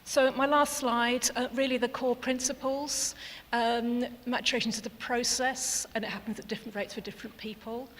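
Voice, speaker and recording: A woman presenting at a panel in an earnest, halting way with many restarts. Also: a little high, steady tone, a bit bright. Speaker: panel speaker. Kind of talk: presentation talk. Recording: reverberant hall, faint hiss.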